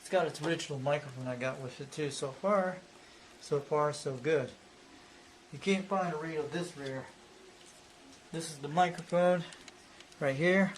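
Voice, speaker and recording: Male hobbyist presenter, slightly high voice, casual rambling repetitive show-and-tell delivery, faint background noise. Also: moderate pace, pauses often, poor audio.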